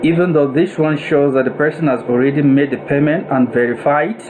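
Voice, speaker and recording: A man with a Nigerian accent giving a deliberate, step-by-step software tutorial voice-over, phrase by phrase, as an instructor. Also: fairly high pitch. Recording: noisy room with steady air-conditioning-like hum.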